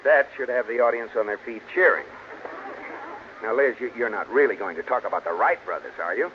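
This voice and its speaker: A man's voice: medium pitch, fairly quick, close to an everyday voice. A man playing a long-suffering, dryly sarcastic husband in a 1940s radio sitcom. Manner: dry, sarcastic. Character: wry, long-suffering husband of a scatterbrained wife. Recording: noisy, muffled old radio recording.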